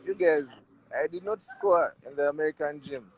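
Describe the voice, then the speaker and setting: A man in his twenties from Uganda, speaking with a Ugandan accent. He tells personal stories as an interview guest in a loose, casual way. His delivery is animated, with phrases repeated for emphasis. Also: a little high, moderate pace, slight background noise, rough recording. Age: 20s. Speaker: rugby player, interview guest.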